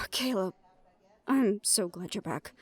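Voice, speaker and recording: A woman's voice: medium pitch, lively intonation, slow pace, breathy edge. A woman playing a protective, outspoken young woman, a loyal friend, earnest and direct in an audio drama. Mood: concerned.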